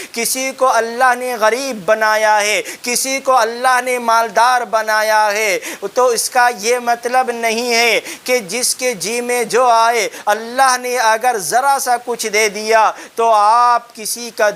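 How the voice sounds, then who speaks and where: A man's voice: extremely high pitch, a bit bright. A man preaching an Islamic sermon, admonishing listeners and telling stories with rhetorical questions and emphatic repetition.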